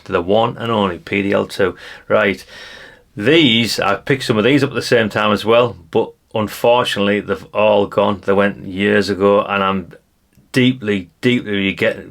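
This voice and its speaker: Low-voiced British man, slightly gravelly, chatting casually. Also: fairly even intonation, measured pace, a bit bright. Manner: chatty and colloquial, often adding tag questions. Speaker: hobbyist video host. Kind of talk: casual rambling commentary while leafing through a brochure.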